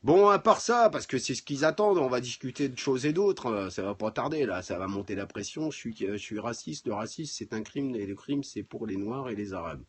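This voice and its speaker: A middle-aged man with a husky voice, chatting casually and bluntly on a livestream, with crude swearing. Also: medium pitch, expressive, fairly quick, few pauses. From France. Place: home garage.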